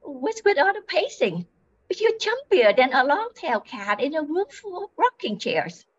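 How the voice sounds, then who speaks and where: A woman's voice: high-pitched, big pitch swings, moderate pace. A woman playing a sensible, scolding farm-raised twin sister in an amateur radio mystery, on a muffled recording. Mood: exasperated. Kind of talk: amateur old-time radio comedy-mystery acting. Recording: dull, muffled sound.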